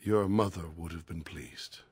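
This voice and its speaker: An actor playing a gentle, sad middle-aged elf father in a video game, tender and subdued. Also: sits low, unhurried, breathy edge, dry recording, heavily acted. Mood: tender, wistful.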